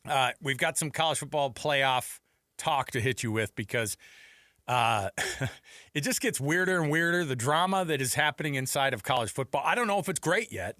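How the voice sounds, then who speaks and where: A man's voice: medium pitch, animated, moderate pace, pushed and bright, no echo, clean studio sound. A man hosting a sports talk radio show, bantering casually in an informal, playful way. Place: radio studio. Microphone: close studio mic.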